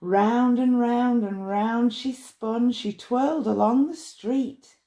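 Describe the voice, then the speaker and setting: A woman reading a rhyming bedtime story in a measured, expressive way. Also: fairly high pitch. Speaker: storybook reader.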